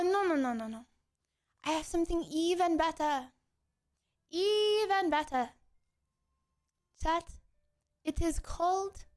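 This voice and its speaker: Casting: woman playing a snooty French madame for livestream comedy, very high voice, slow delivery, theatrical put-on French accent. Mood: boastful, playful. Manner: pompous, theatrical, repetitive. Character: haughty, flamboyant French lady food snob with mustache. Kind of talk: playful in-character livestream chat.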